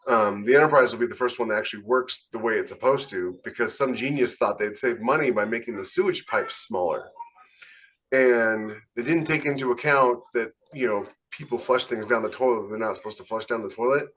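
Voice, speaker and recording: A man's voice: mid-range voice, brisk, fairly bright tone. A man co-hosting a casual podcast discussion, explaining things with enthusiasm as a writer. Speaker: podcast co-host and science fiction writer.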